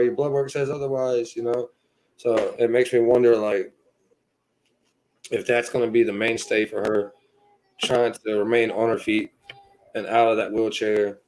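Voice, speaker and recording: A man in his thirties telling his personal story as a podcast guest, earnest and halting, filling his pauses with "you know". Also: fairly low pitch, darkish tone, a little hiss or hum, poor audio. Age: 30s.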